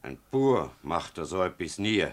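A man's voice: sits low, big pitch swings, takes it slowly, exaggerated voice. A man playing a reticent, dignified farmhand in a radio drama, terse and guarded, with a German accent. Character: reticent, dignified male farmhand accused of arson.

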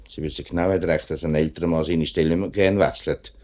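A man's voice: a bass voice, fairly even intonation, quick pace, darkish tone. An actor playing a principled, plain-spoken workers' spokesman in a 1950s radio drama, earnest and firm, with a Swiss accent. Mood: indignant. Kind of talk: 1950s German-language radio drama acting.

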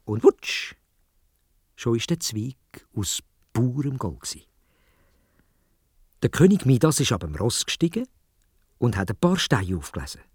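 A man with a Swiss German accent telling a children's story in a dramatic, expressive way, speaking directly to young listeners. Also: mid-range voice, measured pace, pauses often, breathy edge, dry recording, clean studio sound. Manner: dramatic, addresses young listeners with questions and exclamations.

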